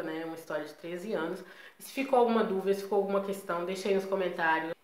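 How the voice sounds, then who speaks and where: A Brazilian woman with a Brazilian Portuguese accent talks to her viewers in a casual personal vlog. Her voice sits on the lower side, and her delivery is chatty and emphatic, full of tag questions. The recording has a roomy echo. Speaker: vlogger.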